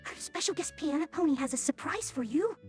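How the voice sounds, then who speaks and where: Woman voicing high-pitched, courteous pony nurse. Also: expressive, a little fast, a little mellow, soft background music. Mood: polite, brisk. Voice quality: synthetic text-to-speech voice. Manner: courteous, announcing. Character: polite, brisk female pony nurse at retirement home. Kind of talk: fan audio drama acting.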